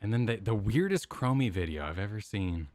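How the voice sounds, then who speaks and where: A man co-hosting a gaming reaction stream, giving casual, animated, bantering commentary. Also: on the low side, fairly quick, dry recording.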